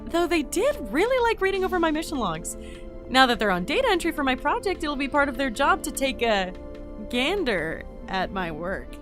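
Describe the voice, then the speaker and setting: A woman's voice: sits high, over music. A woman playing an earnest, anxious young entity in an audio drama, talkative and flustered. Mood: awkward. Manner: flustered, expressive, halting.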